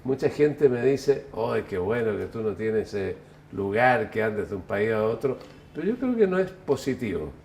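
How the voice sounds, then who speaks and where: An older man from Chile, interviewed, musing on his life in an unhurried, reflective way that often corrects itself. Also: lowish voice, sing-song, vocal fry, a little mellow, a little hiss or hum. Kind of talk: relaxed reflective storytelling.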